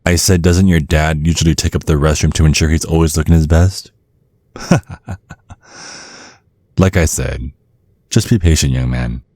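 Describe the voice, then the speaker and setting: A man's voice: extremely low pitch, darkish tone, no room sound, studio quality. A man playing a centuries-old vampire father in an ASMR roleplay, protective and slyly polite, teasing with veiled threats. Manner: mock-courteous, insinuating, teasing with veiled threats.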